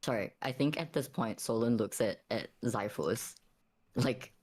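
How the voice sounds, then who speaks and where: A man casually narrating his character's actions in a tabletop roleplaying game, pausing to think as he goes. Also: mid-range voice, expressive.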